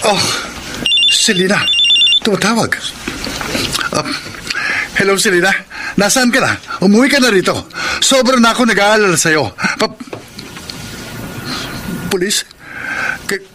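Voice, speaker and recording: A worried young husband in a radio drama, high-pitched and earnest. He pleads respectfully, with noticeable noise behind him. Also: measured pace, long pauses. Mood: worried, alarmed. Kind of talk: emotional radio drama acting.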